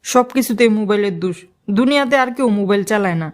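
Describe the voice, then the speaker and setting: A woman's voice: mid-range voice, quick pace, natural voice. A woman voicing a sulky, stubborn teenage daughter in a cartoon who talks back to her mother. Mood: talking back. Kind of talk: cartoon voice acting.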